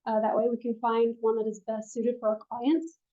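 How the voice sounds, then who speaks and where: A woman who is a financial advisor, giving a soft-spoken classroom presentation with frequent ums, recorded on a room mic. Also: a little high, steady tone, brisk, lo-fi sound.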